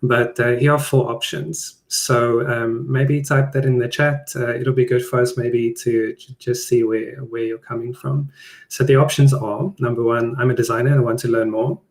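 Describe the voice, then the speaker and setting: A man's voice: mid-range voice, level tone, moderate pace. A man, an Afrikaans speaker, presenting a live online design talk in a plain, conversational way. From South Africa. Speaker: product designer presenting a webinar.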